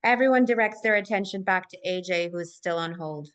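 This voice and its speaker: A woman's voice: on the low side, a little fast, highs cut off. A woman narrating stage directions in a scripted live table read.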